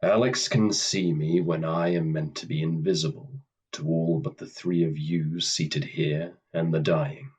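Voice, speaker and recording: Radio play role for an actor: weary, stern leader of the horsemen, deep, unhurried, commanding. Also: male voice, steady tone, a little mellow, husky, exaggerated voice. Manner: measured, commanding.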